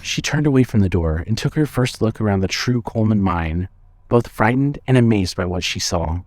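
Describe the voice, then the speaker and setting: A man with a fairly low voice narrates a suspense story from a script. His telling is measured, and the recording is very dry, with no room sound. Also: animated, a little mellow, no room sound.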